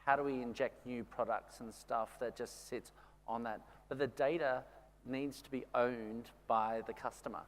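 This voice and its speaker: A man's voice: medium pitch, steady tone, moderate pace, slight background noise. Enthusiastic man moderating a panel. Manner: enthusiastic, affirming with "yeah". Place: large conference stage. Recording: long hall reverb.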